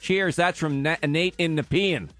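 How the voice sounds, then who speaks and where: A man's voice: fairly high pitch, a little slow, fairly bright tone, faint music behind. A man hosting a sports radio show with casual banter, joking in a wry, mock-serious way. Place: radio studio.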